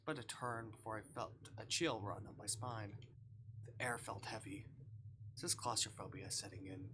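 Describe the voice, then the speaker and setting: A man's voice: on the low side, moderate pace, noisy background. A man livestreaming a visual novel, reading it aloud theatrically and reacting as he goes. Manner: theatrical, swings from hushed narration to shouting.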